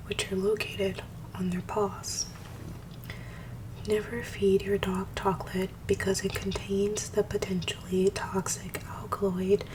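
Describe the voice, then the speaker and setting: Low grainy whispering woman. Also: distant mic, low-quality recording. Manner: quick talker who stumbles on hard words. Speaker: ASMR creator. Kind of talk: soft-spoken ASMR reading and rambling. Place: at home.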